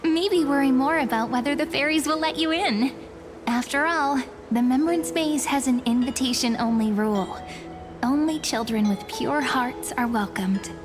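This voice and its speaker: Woman acting a high-voiced, gently teasing young woman. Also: normal speed, close to an everyday voice. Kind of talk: video game voice acting. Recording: background music under the voice.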